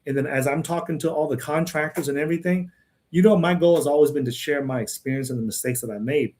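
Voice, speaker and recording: A man's voice: fairly high pitch, quick pace, darkish tone. Rambling man who keeps asking "right?". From Texas. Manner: rambling, repeats words, often tags "right?" and "okay?". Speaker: channel host answering viewer questions. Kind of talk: casual livestream monologue.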